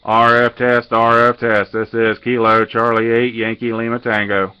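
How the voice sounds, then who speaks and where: A man giving a casual hands-on technical walkthrough as a radio hobbyist, halting and matter-of-fact, picked up on a handheld camera mic. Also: mid-range voice, flat delivery, clear, resonant tone, no echo, highs cut off. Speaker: amateur radio hobbyist demonstrating a modified radio.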